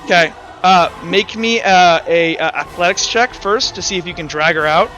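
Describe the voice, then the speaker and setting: A man acting as game master in a tabletop roleplaying game, narrating off the cuff and calling for rolls in a halting, think-aloud way. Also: high-pitched, sharp tone, faint music behind.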